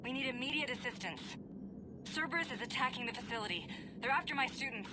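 An actress playing an academy director under siege in a video game, speaking in an urgent, clipped way. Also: female voice, sits high, expressive, quick pace, very noisy. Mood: urgent, worried. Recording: loud music behind voice.

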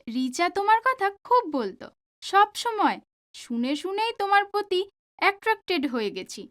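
A woman's voice: extremely high pitch, sing-song, moderate pace, fairly bright tone, full character voice. An actress playing an earnest, lovestruck young female student in an audio drama, pleading in manner. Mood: coy, confessing. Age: young adult.

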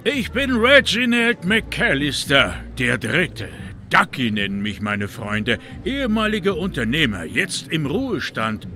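A man's voice: on the high side, big pitch swings, measured pace, sharp tone, faint music behind, exaggerated voice. An actor playing a jovial, grandiose retired businessman in a video game, chatty and theatrically formal. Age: older. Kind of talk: video game dialogue acting.

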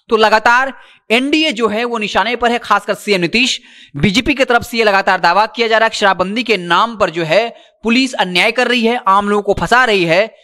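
A man. His voice sits high for a man and has a bright, forceful edge. He speaks emphatically and insistently, straight to the audience on camera. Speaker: news presenter. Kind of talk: news commentary, reporting to camera. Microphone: handheld microphone.

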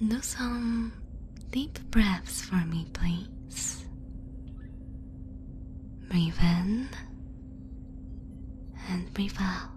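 A woman playing an aloof, clinical alien examiner in a whispered ASMR roleplay, with a measured, formal, matter-of-fact manner. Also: medium pitch, a little mellow, slight background noise. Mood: clinical, calm.

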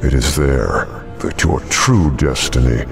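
Super deep gravelly demon villain, slow menacing game taunts. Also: male voice, soft background music, full character voice. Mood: menacing, taunting. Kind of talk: video game cutscene acting.

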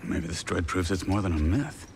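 A man's voice: low voice, lively intonation, moderate pace, darkish tone, a little hiss or hum. A man playing a tough, observant gunman ally in a video game, cool and assured. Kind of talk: video game cutscene acting.

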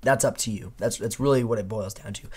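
Hoarse guy in his 30s doing an upbeat tech review at his desk, keeps saying okay?. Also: fairly low pitch, fast talker. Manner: upbeat, chatty, punctuates points with "okay?". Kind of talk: laptop comparison review. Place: indoor studio desk, dim room.

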